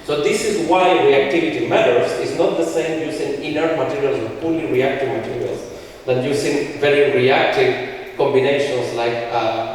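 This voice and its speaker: A man's voice: medium pitch, a little mellow, slight background noise. A man from Chile giving a technical conference talk, explaining slides step by step with frequent restarts, heard from far off in a large hall. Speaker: conference speaker.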